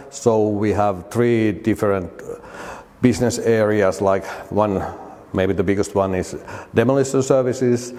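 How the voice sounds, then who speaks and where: A middle-aged Finnish man with a Finnish accent, answering interview questions in a halting way with many repeated words and restarts. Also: low-pitched, darkish tone. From Finland. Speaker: demolition company director. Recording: reverberant hall.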